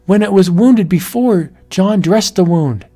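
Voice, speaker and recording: A woman's voice: lowish voice, expressive, measured pace, dark tone, soft background music, pitched above the performer's own voice. A man voicing a frightened, nervous young woman in a read-aloud horror story. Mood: anxious.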